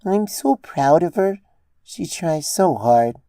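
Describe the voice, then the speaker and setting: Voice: medium pitch, animated, takes it slowly, warm tone, no room sound, pitched above the performer's own voice. A narrator voicing an adult female pony, a mother who confides in a hesitant, earnest way, in a story reading. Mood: proud.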